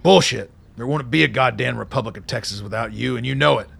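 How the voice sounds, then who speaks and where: An actor in a radio drama playing a blunt, profane old army colonel with a gravelly voice, ornery but philosophical and loyal. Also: male voice, mid-range voice, fast, fairly bright tone, full character voice. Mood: angry, urgent. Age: older. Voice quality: gravelly, creaky.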